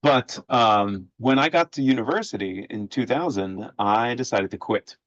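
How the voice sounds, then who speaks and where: A man in his forties from Sweden, a history professor speaking as a podcast guest in a measured way with many filler sounds. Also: mid-range voice, fairly bright tone, dry recording. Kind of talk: podcast interview. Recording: dull lo-fi audio, dry.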